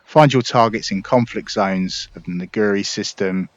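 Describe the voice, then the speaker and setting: A man's voice: on the low side, moderate pace, no room sound, dull audio. A man giving casual gameplay commentary, explaining things informally and directly to his viewers. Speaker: gaming video creator.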